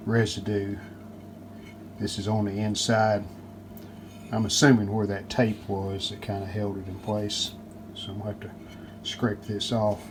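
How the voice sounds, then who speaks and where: A man casually narrating a hands-on how-to as he works, plain-spoken, unhurried and modest. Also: on the low side. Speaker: restoration hobbyist and video host. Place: home basement workshop. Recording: steady background noise, handling rustle.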